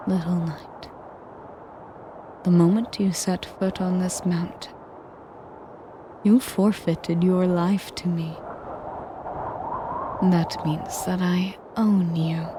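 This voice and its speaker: A woman playing a sadistic, possessive female dragon in an audio roleplay, speaking in a breathy half-whisper with pompous, condescending menace. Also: on the low side, warm tone, a little hiss or hum. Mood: possessive, commanding. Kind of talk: scripted audio roleplay monologue.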